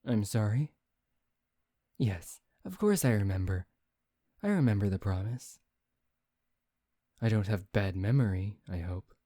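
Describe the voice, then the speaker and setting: A teasing, seductive male vampire, acted by a man for an ASMR roleplay. The voice is dark and mellow and moves slowly, with intimate, formal phrasing. It sounds very dry and close. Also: lowish voice, lively intonation, no echo. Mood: exasperated, bargaining. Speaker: voice actor.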